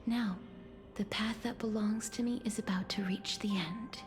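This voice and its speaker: A woman playing a gentle, wistful young noblewoman in a video game, speaking softly and formally with a breathy tenderness. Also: medium pitch, steady tone, slow pace, natural voice. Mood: solemn, grateful. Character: gentle, wistful, soft-spoken young noblewoman, quietly earnest. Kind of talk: video game voice acting, reflective monologue. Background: game music.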